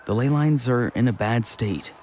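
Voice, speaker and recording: A man's voice: mid-range voice, moderate pace, darkish tone, slight background noise, natural voice. A man voicing an earnest, restless young villager with a casual, frank manner in an anime-style video game. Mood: candid. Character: restless, earnest young male villager, mild reformed troublemaker. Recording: faint background ambience.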